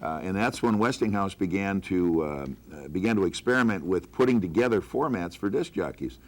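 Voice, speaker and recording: Older man, veteran broadcaster, very low-pitched, unscripted recollection of working days. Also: lively intonation, a little fast. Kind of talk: unscripted reminiscing among colleagues.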